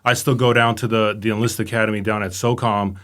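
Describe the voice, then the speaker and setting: A middle-aged man speaks quickly in a low-ish voice. His talk is loose and rambling, and he tags his sentences with "right?" and "you know". It is an easy, casual interview chat. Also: fairly even intonation, a bit bright. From born in Romania, raised in Los Angeles. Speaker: guest, command sergeant major.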